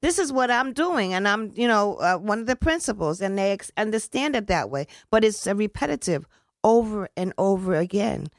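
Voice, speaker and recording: A woman's voice: mid-range voice, animated, normal speed, no room sound. Earnest rambling woman, dry close sound. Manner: rambling and earnest, with frequent restarts and casual slang. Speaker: community event organizer. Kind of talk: casual interview talk.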